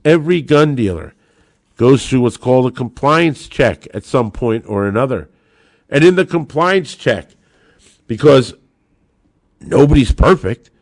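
Animated, sarcastic American man. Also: medium pitch, no room sound, studio quality. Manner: emphatic, sarcastic, rhetorical questions answered by himself. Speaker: podcast host and lawyer. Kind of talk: opinionated talk podcast commentary.

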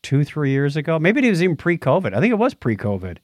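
A man's voice: a little high, wildly swooping pitch, fast talker, dry recording, studio quality. A man co-hosting a podcast in casual chat, explaining things in a geeky, enthusiastic way. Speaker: podcast co-host.

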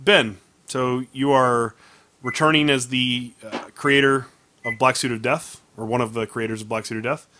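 A man's voice: mid-range voice, fairly even intonation, moderate pace, bright, forceful tone. A man in his early thirties hosting a casual podcast, easygoing and steering the talk. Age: 30s. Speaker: podcast host.

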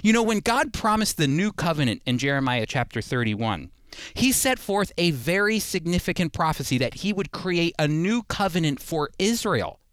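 A man's voice: a little high, very animated, moderate pace, fairly bright tone, clear, resonant tone, no echo. A man hosting a radio program, teaching the Bible in a conversational, earnest and emphatic way, repeating phrases for emphasis.

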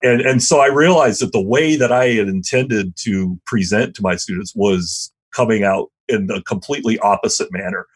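A middle-aged man, a history teacher, answering an interview question in a loud voice, thoughtful and self-deprecating, with frequent restarts. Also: on the low side, normal speed, few pauses, husky.

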